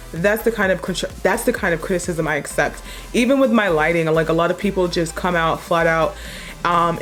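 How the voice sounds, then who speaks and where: A young woman with a deep voice chatting casually as a makeup vlogger, low-key and chill but blunt when she makes a point. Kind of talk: casual chat while doing a makeup tutorial.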